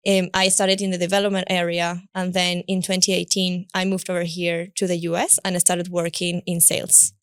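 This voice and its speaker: A woman's voice: lowish voice, flat delivery, fairly quick, few pauses, clean tone, no echo, studio quality. A woman from Peru with a Peruvian Spanish accent, telling upbeat stories as a guest in a casual podcast conversation. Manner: upbeat, warm storytelling with laughs. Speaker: guest, head of solutions design.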